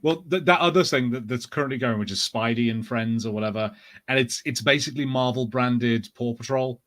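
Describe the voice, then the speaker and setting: A man's voice: medium pitch, brisk, fairly bright tone. A man chatting casually as a panelist on a pop-culture podcast.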